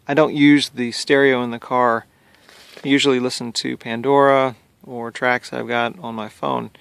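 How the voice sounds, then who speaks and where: A man's voice: medium pitch, vocal fry, fairly bright tone, clear, resonant tone, no echo. A man giving a casual, plain-spoken how-to narration, explaining a hands-on DIY install step by step. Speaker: hobbyist demonstrating a DIY car install.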